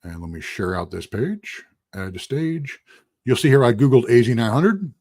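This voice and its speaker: Husky-voiced man teaching live. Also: mid-range voice, animated, moderate pace, darkish tone, no echo, studio quality. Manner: rambling, conversational, restarts and asides. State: losing his voice. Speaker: instructor. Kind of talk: casual live-stream lecture.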